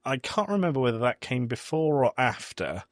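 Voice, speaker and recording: A man's voice: medium pitch, very animated, moderate pace, dry recording, clean studio sound. A man hosting a podcast in casual, emphatic, opinionated conversation. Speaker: podcast host. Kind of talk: casual chat reviewing a film.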